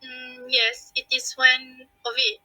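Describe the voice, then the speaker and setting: A woman's voice: high-pitched, big pitch swings, slow, bright, forceful tone, no room sound, faint music behind, low-quality recording. A young Malaysian woman, a forum panelist, reading a prepared statement steadily.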